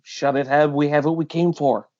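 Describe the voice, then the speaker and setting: A man's voice: fairly high pitch, fairly even intonation, moderate pace, a little mellow, close to an everyday voice. A man voicing a level-headed, commanding bounty hunter in a comic readalong, firm and urgent, in slightly dull audio.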